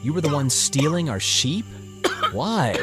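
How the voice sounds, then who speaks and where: Anguished farmer, exclamatory, slow pace, expressive range, audio drama. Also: male voice, fairly high pitch, over music. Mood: angry, shocked. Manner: exclamatory, urgent. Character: anguished, protective male farmer confronting a friend.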